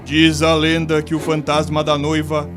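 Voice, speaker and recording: A man's voice: on the high side, completely flat delivery, moderate pace. A man with a Brazilian accent giving dramatic scripted narration of a ghost story. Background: music.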